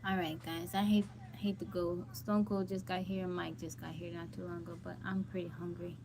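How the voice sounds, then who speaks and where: A woman's voice: lowish voice, flat delivery, moderate pace. Chatty woman livestreaming with ambient noise. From United States. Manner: chatty, greets viewers by name. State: hungry and a little jittery. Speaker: livestream host. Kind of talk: casual live chat with viewers.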